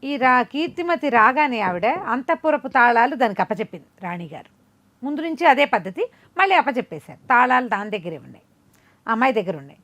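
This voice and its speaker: Female storyteller, higher-pitched voice, chatty and animated folk-tale delivery. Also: fairly quick. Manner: conversational, explanatory asides, acts out dialogue.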